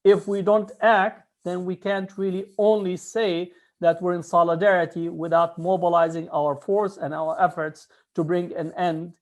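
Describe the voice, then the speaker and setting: A man speaking formally and emphatically as a guest speaker at a press conference, delivering prepared advocacy remarks. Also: on the high side.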